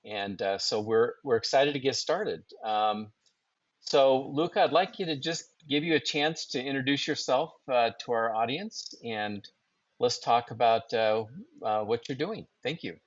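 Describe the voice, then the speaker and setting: A man hosting a business webinar, introducing his guest in a halting way with many fillers. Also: mid-range voice, no echo. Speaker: webinar host, company founder. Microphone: video call. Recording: dry, dull web call audio.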